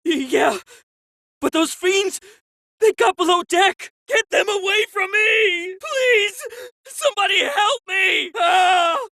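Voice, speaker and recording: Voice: very high voice, expressive, slow, pauses often, pushed and bright, dry recording, full character voice. An actor playing a terrified ship passenger in a video game, frantic and pleading. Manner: panicked, breathless pleading. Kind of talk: scripted video game dialogue.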